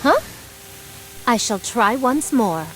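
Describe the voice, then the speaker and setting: A woman's voice: fairly high pitch, sing-song, slow pace, fairly bright tone, a little hiss or hum, exaggerated voice, rough recording. A woman voice-acting a proud, grave swordswoman in a video game, speaking in formal, archaic phrases.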